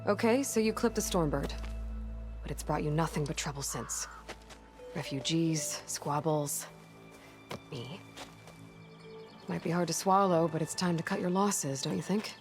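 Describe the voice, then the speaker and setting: An actress playing a pragmatic young heroine in a video game, frank and understated, reasoning with wry compassion. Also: female voice, sits low, a little slow, pauses often. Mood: firm persuasion. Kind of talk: video game cutscene acting. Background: music.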